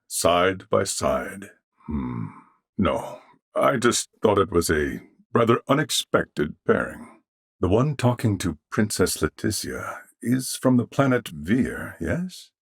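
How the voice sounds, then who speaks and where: A male actor playing a calm, courteous swordsman in a video game, speaking in formal, measured phrases. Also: low voice, vocal fry, husky, no echo, studio quality, full character voice. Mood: polite, thoughtful. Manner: formal, archaic, measured diction. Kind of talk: scripted video game character dialogue.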